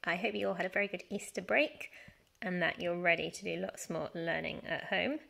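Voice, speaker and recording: A woman reading a children's storybook aloud as a teacher, with animated, dramatic emphasis. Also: lowish voice, brisk, creaky. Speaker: primary school teacher reading story time.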